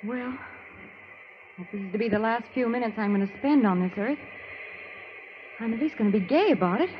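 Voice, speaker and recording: A woman's voice: a little high, fairly quick, slight background noise, lightly acted. An actress playing a brave, spirited lady companion with an American accent, acted dramatically in 1930s radio style. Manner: plucky, dramatic. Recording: muffled old radio recording with hall reverb.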